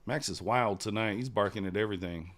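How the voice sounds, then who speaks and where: A man's voice: fairly low pitch, a little fast, very noisy. A man, hosting a live trading stream in casual chat, rambling and replying to chat. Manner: relaxed, rambling, reads chat aloud. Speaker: livestream trader host.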